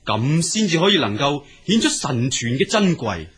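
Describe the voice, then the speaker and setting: A man's voice: on the high side, big pitch swings, moderate pace, fairly bright tone, slightly rough, exaggerated voice. A man with a Cantonese accent playing a greedy, bullying river god in an audio drama, imperious, scornful and commanding. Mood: haughty, scornful.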